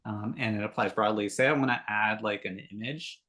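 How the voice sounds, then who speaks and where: A man's voice: on the low side, brisk, sharp tone. Man asking questions on a work call. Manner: curious, restarts his questions. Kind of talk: casual work meeting.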